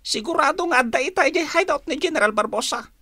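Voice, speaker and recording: A woman's voice: high voice, animated, fast, pushed and bright, dry recording, studio quality. A woman with a Filipino accent playing an anxious informant in a radio drama. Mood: nervous, eager.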